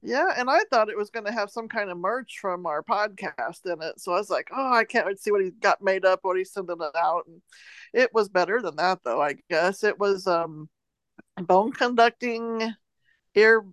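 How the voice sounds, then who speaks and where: A woman co-hosting a podcast in casual chat, talkative and quick to laugh. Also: mid-range voice, fairly bright tone, dry recording. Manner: chatty, laughs often. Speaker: podcast co-host.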